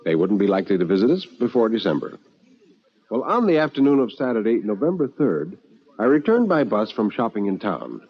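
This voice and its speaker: A man narrating a first-person story in a theatrical, measured and intense old radio drama style. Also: on the low side, sing-song, slightly rough. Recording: dull old radio recording with music.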